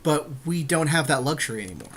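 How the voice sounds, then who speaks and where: Kinda higher pitched guy chatting along on a card game podcast, easygoing, bit of noise behind him. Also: very animated, fast talker, a bit bright. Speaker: podcast co-host playing an online card game. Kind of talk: casual game commentary with a friend.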